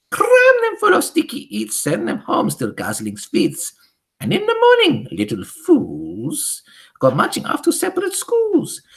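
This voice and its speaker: A woman voicing a gloating, disgusting old Grand High Witch in a storybook, chanting theatrically in broken grammar. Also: gravelly, a bit bright, full character voice. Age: older. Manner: theatrical, chanting, ungrammatical speech. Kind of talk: theatrical storybook character reading.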